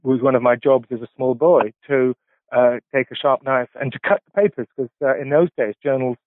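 Brief: professor in his 60s, Polish, halting and reflective, heard over a dry, tinny phone line. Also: medium pitch, fairly even intonation, dry recording. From England. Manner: halting, many filler sounds and restarts. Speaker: professor, interview guest. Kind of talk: reflective interview answers.

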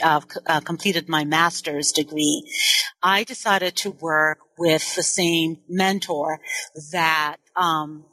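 A woman in her forties, a historian born in Nigeria and a native Igbo speaker, telling her story in an interview with measured, thoughtful speech. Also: lowish voice, bright, forceful tone, no echo, rough recording. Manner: thoughtful, self-correcting, measured. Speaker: historian and author. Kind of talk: interview, personal account.

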